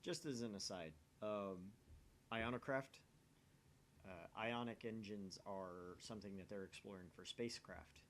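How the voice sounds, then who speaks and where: A man's voice: lowish voice, unhurried, fairly bright tone, a little hiss or hum. A man hosting a let's play, reading visual novel narration aloud and chatting casually in a self-deprecating way.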